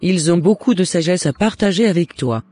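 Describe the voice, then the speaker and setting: A man's voice: sits high, lively intonation, normal speed, warm tone, slightly rough, dry recording. A man playing a loving, devout mother in a cartoon, giving earnest and encouraging advice in formal words. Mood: gently advising. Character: devout, loving mother advising her son. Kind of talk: scripted cartoon dialogue.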